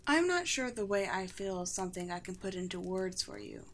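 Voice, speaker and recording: A woman voicing a gentle, regal undead vampire queen in a scripted ASMR roleplay, speaking formally and poetically with tender, light amusement. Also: fairly low pitch, big pitch swings, fairly quick, slight background noise. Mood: tender, wistful.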